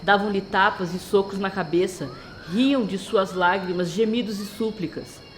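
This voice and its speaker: Female narrator, scripted dramatic storytelling, mid-range pitch, clearly noisy insect-like backdrop. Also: steady tone, a little slow.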